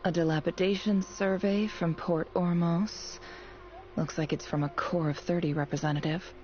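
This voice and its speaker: An actress playing a guarded, wry young female student in a video game, with a measured, dry way of talking. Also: lowish voice, fairly even intonation, a little mellow, muffled recording, a little hiss or hum, close to an everyday voice. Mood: curious, musing.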